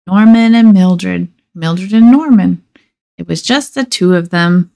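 A woman reading a children's picture book aloud expressively, with measured dramatic pauses and emphasis. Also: on the low side, creaky, warm tone. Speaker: storybook reader.

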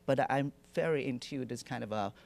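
A middle-aged man from Taiwan, giving a relaxed lecture with many fillers and dry humor into a handheld microphone in a lecture hall. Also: medium pitch, moderate pace, creaky. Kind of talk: lecture presentation. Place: lecture hall with projection screen.

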